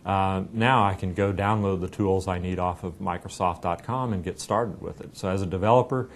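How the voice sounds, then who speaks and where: A man's voice: fairly even intonation, normal speed. A middle-aged man explaining a product conversationally during an interview and demonstration, recorded indoors on a lapel mic. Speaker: software company representative giving a demo. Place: indoor meeting room. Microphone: clipped lapel microphone. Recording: clear low rumbling room noise.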